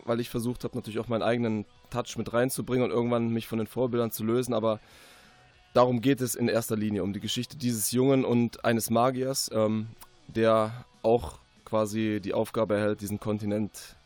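A man's voice: mid-range voice, no echo. Male author as radio guest, mid-range voice, reflective and hesitant delivery, dry recording, faint music bed. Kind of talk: conversational radio interview.

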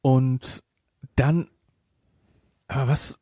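A man's voice: medium pitch, fairly even intonation, extremely slow, warm tone, husky, no room sound, clean studio sound. A man speaking as a podcast host, discussing a film casually and emphatically, repeating his points to drive them home.

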